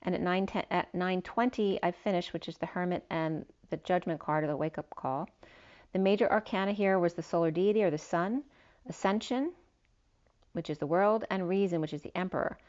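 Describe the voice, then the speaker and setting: Hoarse-edged woman reading tarot cards. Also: lowish voice, fairly quick, a little mellow. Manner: meandering, listing cards with fillers. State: losing her voice a little. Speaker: tarot reader. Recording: dull lo-fi sound.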